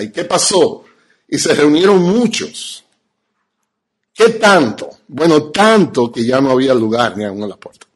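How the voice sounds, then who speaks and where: A man with a Dominican accent teaches like a pastor at a conference. His voice is husky and of medium pitch. He speaks emphatically, pausing deliberately to let each point land. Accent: Dominican Spanish. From Dominican Republic. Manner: emphatic, rhetorical questions, deliberate pauses. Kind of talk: teaching sermon to an audience.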